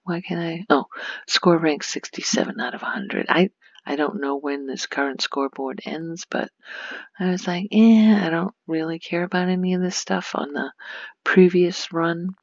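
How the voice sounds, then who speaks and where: A woman giving a soft-spoken ASMR game tour, hushed and often slipping into a whisper, breathy and creaky, rambling unhurriedly as she thinks aloud. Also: fairly low pitch, moderate pace, dry recording, lo-fi sound. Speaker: gaming ASMR host.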